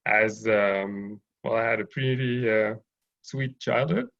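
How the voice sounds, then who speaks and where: Gravelly low-voiced man, lo-fi call audio. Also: fairly even intonation, slow pace, fairly bright tone. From Haiti, raised in Montreal. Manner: thoughtful, hesitant with many fillers. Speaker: guest, urban farm owner. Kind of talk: interview over video call.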